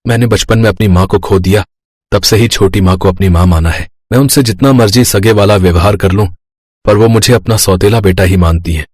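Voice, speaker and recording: A man acts a humble young husband in a cartoon drama. His lowish voice is husky, with a tender, apologetic manner and a dry studio sound. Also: brisk, darkish tone, no room sound. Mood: sad, apologetic. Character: humble, sorrowful young husband, an affectionate stepson.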